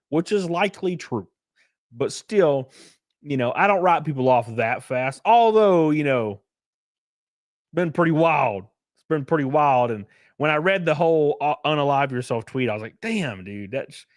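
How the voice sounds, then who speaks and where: A man's voice: a little high, dry recording, clean studio sound. A man streaming casual commentary, reading chat messages aloud and rambling with fillers, a bit sniffly. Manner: rambling, lots of fillers and restarts.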